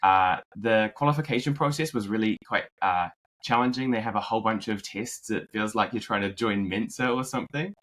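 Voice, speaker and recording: A man from New Zealand with a drifting New Zealand accent, a podcast guest telling his story in a relaxed way. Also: on the low side, fairly quick. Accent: New Zealand, mixed with American. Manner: relaxed storyteller, many fillers and restarts. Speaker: podcast guest, marketing executive. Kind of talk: conversational interview.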